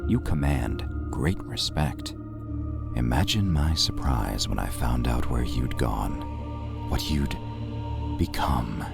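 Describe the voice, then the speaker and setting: A man playing a deep, gravelly traitor villain. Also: lively intonation, over loud music, exaggerated voice. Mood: menacing. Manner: menacing, taunting, slow and deliberate. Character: cold, scornful male traitor warrior villain. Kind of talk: fan audio drama acting.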